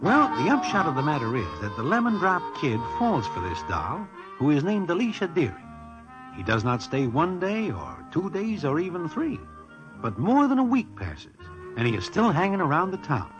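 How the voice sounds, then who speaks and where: An actor narrating as a wry, dry storyteller in 1940s radio style. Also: male voice, on the high side, lo-fi sound. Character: wry male storyteller narrating in the present tense. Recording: narration over music. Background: music.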